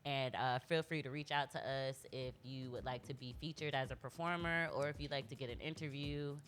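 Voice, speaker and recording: Low-voiced woman hosting a casual show. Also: steady tone, a little fast, a little hiss or hum. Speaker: community radio show host. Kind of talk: casual, reflective chat.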